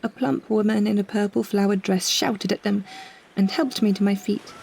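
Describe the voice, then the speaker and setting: Woman storyteller with a slightly husky edge. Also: medium pitch, fairly even intonation, moderate pace, darkish tone. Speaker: narrator and performer of a short story. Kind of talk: scripted first-person literary narration.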